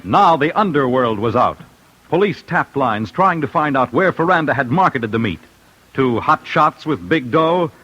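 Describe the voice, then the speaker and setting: A man's voice: mid-range voice, animated, moderate pace, pushed and bright, muffled recording. A man narrating an old-time radio crime show in a punchy, clipped, suspenseful way. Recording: old radio broadcast with music bed.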